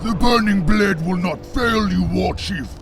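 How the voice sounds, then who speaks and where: An actor playing a proud, loyal orc clan warrior with a gravelly voice in a video game cutscene. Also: male voice, sits high, a little slow. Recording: music under the voice.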